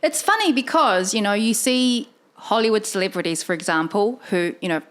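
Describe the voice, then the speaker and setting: Woman, podcast host, lively, chatty, quick asides, mid pitch. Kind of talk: casual interview chat.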